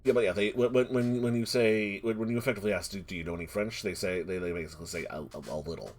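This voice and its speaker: A man narrating a tabletop roleplaying game as game master, halting and repeating words as he improvises. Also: lowish voice, fairly even intonation, a little hiss or hum. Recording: music playing behind the voice. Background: music.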